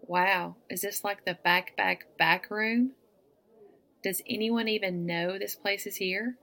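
A woman's voice: medium pitch, fairly even intonation, takes it slowly, vocal fry, fairly bright tone. Southern lady, teasing and flirty, takes her time, laughs a lot. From American South. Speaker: woman flirting with a stranger at a bar. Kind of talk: one-sided audio roleplay.

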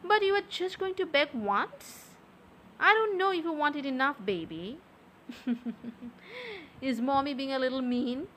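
A young adult woman speaking softly and close to the mic in an intimate audio roleplay, with a teasing, coaxing manner. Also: sits high, animated, a little hiss or hum. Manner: soft, slow, teasing and coaxing.

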